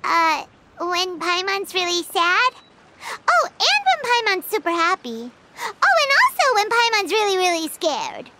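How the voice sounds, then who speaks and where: Tiny squeaky fairy sidekick for a video game, super high, bouncy pitch, excitable and chatty. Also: female voice, measured pace, background noise, heavily acted. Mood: puzzled. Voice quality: squeaky, childlike. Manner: exclamatory, refers to self in third person. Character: Paimon, tiny childlike female fairy companion, excitable and chatty.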